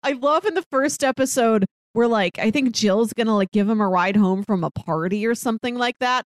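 A woman's voice: fairly high pitch, fairly quick, dry recording, studio quality. A woman of about thirty co-hosting a podcast, chatting casually about television. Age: 30s. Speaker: podcast co-host. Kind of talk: casual TV discussion chat.

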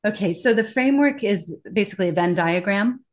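A woman of middle pitch speaks as an interview guest, explaining her ideas with animated emphasis. She laughs easily and often as she talks. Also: brisk. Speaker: interview guest, corporate communication trainer. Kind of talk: conversational interview.

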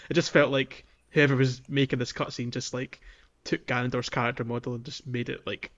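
A man's voice: mid-range voice, level tone, fairly quick, fairly bright tone, muffled recording. A man co-hosting a podcast in informal, sweary, casual conversation. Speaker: podcast co-host. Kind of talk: casual chat.

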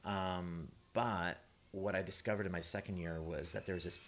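Canadian male guest, low voice, casual rambling storyteller with self-deprecating humour. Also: fairly even intonation, normal speed, fairly bright tone, a little hiss or hum. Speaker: playwright guest.

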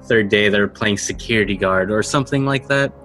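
A man's voice: on the low side, brisk, fairly bright tone. A man hosting and narrating a tabletop role-playing game as game master. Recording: music under the voice. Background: music.

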